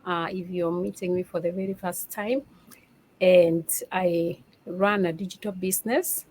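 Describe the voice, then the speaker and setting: Panel guest, woman, lowish voice, faint background noise, brisk. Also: steady tone, a little mellow. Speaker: panel guest, nurse and mother. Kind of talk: casual panel talk.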